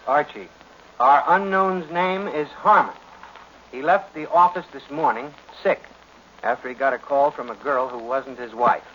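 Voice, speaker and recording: A man's voice: mid-range voice, measured pace, fairly bright tone, natural voice. Man acting a wisecracking detective's legman, noisy recording. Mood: businesslike. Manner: breezy, wry, slangy. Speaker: radio drama actor. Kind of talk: old-time radio detective drama acting. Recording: noisy, muffled old radio recording.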